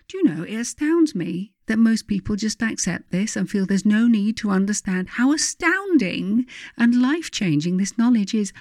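Mellow woman reading, close and dry. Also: mid-range voice, no echo. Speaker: author reading own book aloud. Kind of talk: scripted audiobook narration.

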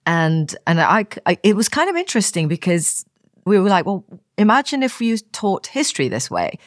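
A host in their forties leads the conversation with eager, lively interest and a mid-range voice. The recording is dry, with almost no room sound. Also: mid-range voice, normal speed, dry recording. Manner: enthusiastic, lively questioning. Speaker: podcast host.

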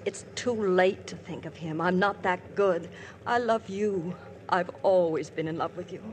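A woman's voice: fairly low pitch, a little slow, slight background noise, close to an everyday voice. An actress playing a married woman in love with a priest, speaking in an intense, emotional, pleading way in a 1950s radio drama.

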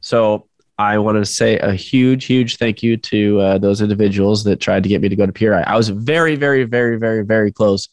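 Male co-host, very low voice, congested from a cold, jokey and rambling; dry close-mic sound. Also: fairly even intonation, a little fast, dry recording. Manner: joking, rambling, self-deprecating. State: stuffed up with a cold. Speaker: podcast co-host. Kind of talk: casual podcast chat.

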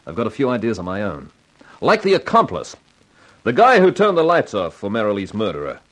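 An actor playing a self-assured, slangy, wry amateur sleuth in a 1950s radio crime drama. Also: male voice, lowish voice, huge pitch swings, a little fast, rough recording. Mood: explaining. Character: wisecracking, hard-boiled male amateur sleuth, self-assured.

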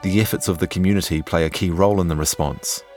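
A man narrates a scripted documentary. His delivery is measured and serious, like long-form journalism read aloud. Soft music sits faintly behind him. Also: low-pitched, steady tone.

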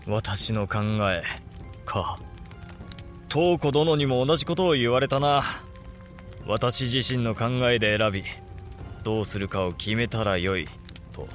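An actor playing an ambitious, defiant young samurai lord in a Japanese period audio drama, speaking in impassioned, archaic warrior speech. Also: male voice, medium pitch, unhurried, long pauses, fairly bright tone, faint music behind, close to an everyday voice. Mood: fervent.

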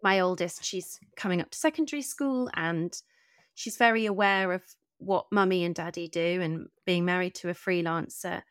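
A woman's voice: lowish voice, moderate pace, clean tone, dry recording, clean studio sound. A middle-aged woman hosting a conversational podcast interview, speaking earnestly and articulately in long, considered sentences.

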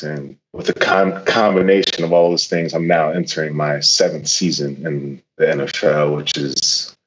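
A man's voice: rumbling low, highs cut off. A man in his twenties from Connecticut, a professional football player, talking casually as a guest with many fillers and restarts. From Connecticut, USA.

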